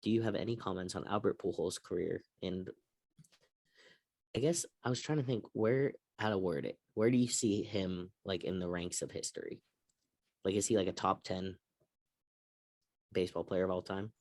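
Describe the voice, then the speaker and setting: Casting: man, low register, dry close sound, casual sports show co-host. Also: normal speed, long pauses, a little mellow, no room sound. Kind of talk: casual sports recap and discussion.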